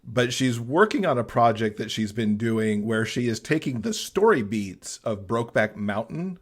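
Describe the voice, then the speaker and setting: A man talks as a guest on a podcast, giving casual book recommendations. His voice sits at an average male pitch. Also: expressive, moderate pace.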